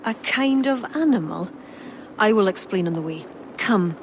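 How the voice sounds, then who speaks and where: A woman's voice: mid-range voice, moderate pace, natural voice. A woman playing a composed ally. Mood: calm. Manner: calm, explanatory. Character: wise female Irish ally, composed. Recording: game audio with ambient noise.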